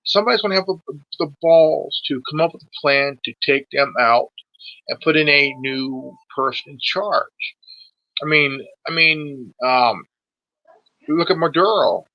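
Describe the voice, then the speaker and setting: A man's voice: on the high side, unhurried, no room sound, lo-fi sound. A man in his forties in a casual political discussion, sweary and emphatic, often stumbling and repeating his words. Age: 40s.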